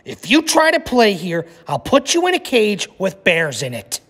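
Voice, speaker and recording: A man's voice: high-pitched, wildly swooping pitch, normal speed, a bit bright, pitched above the performer's own voice, heavily acted. A man voicing a bossy, boastful young boy who hollers threats in a children's storybook read-aloud. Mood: bossy, hollering. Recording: echoey room with long reverb.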